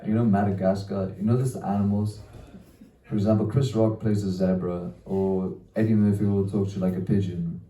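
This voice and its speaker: A man's voice: low-pitched, level tone, moderate pace, warm tone, recorded from a distance. A young man doing a rambling, self-deprecating stand-up set, swearing and trailing off into laughs. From Wales. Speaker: stand-up comedian. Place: small comedy venue. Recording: echoey room, mic picked up from afar.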